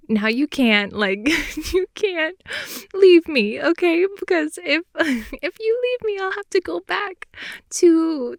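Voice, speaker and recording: A woman's voice: high voice, gravelly, dry recording. A woman improvising intimate girlfriend-style ASMR, telling personal stories in a casual, hesitant ramble close to the mic. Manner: hesitant, rambling, filler-heavy casual speech. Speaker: ASMR audio performer. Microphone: close, dry studio-style mic.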